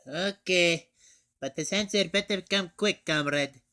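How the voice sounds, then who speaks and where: Man playing male soldier sidekick, unhurried, blunt, inquisitive, impatient, comic review banter. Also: high voice, sharp tone, heavily acted. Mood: impatient, puzzled. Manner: questioning, blunt, calls others comrade.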